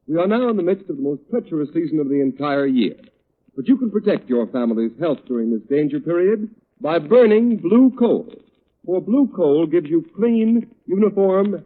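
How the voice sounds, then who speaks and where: A man's voice: on the high side, narrow, tinny audio. A man performing as a dramatic, emphatic 1930s radio announcer on a thin old recording. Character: urgent, persuasive male announcer of a mystery serial.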